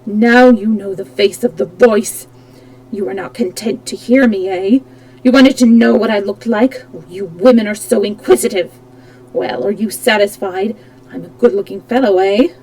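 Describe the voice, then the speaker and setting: A woman's voice: mid-range voice, moderate pace, a little mellow, natural voice, poor audio. A woman reading aloud, voicing a tormented, disfigured masked man who roars, taunts and pleads. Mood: taunting. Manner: roaring, theatrical, alternately pleading and menacing.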